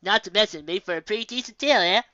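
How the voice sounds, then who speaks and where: A woman's voice: medium pitch, quick pace, bright, forceful tone, no echo, lo-fi sound, close to an everyday voice. A woman voicing a chatty old country woman from a storybook, folksy and rambling. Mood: warm, rambling. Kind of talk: storybook character voice.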